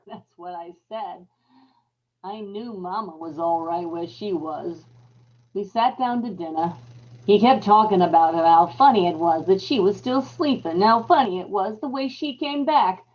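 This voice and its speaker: A woman's voice: mid-range voice, lightly acted. Woman acting a haunted, unhinged murderous wife. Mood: gloating, sinister. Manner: intense, emphatic, repetitive storytelling with dramatic pauses. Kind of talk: old-time radio horror acting, dramatic monologue. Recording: dull lo-fi live radio-play audio.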